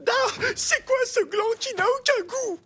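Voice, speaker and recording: An actor voicing a greedy, excitable, loyal male animal with a snout in an animated cartoon. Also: very high voice, unhurried. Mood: alarmed, emphatic. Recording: loud music under the dialogue.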